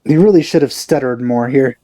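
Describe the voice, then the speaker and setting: A man's voice: medium pitch, fairly quick, mellow, dry recording. A man giving casual gameplay commentary as a let's play host.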